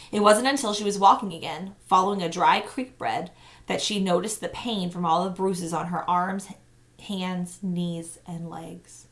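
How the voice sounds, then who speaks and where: Female teacher-narrator, slightly low register, expressive storytelling for young listeners. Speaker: teacher reading aloud. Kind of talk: children's novel read-aloud narration.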